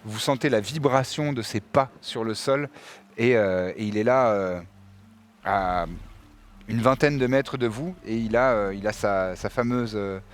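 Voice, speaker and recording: A man running a tabletop game, narrating and explaining rules in a halting way with many fillers. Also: on the low side. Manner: halting, many fillers and restarts while describing. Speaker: game master.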